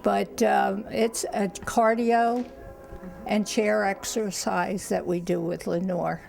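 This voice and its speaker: A woman's voice: mid-range voice, fairly even intonation, slow, vocal fry, darkish tone. An older woman, a craft teacher answering casually in an interview.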